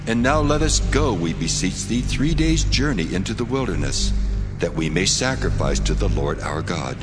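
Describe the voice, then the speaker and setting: An actor playing God as a solemn, commanding deity who declaims deliberately in a scripture audio drama. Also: male voice, low voice. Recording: long cavernous reverb under prominent music. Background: music.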